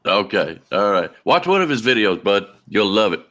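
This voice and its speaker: A man hosting a casual sports talk show, relaxed and friendly, rambling a little as he wraps up. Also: fairly low pitch, moderate pace. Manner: relaxed, friendly, laughs easily, rambling sign-off. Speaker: show host.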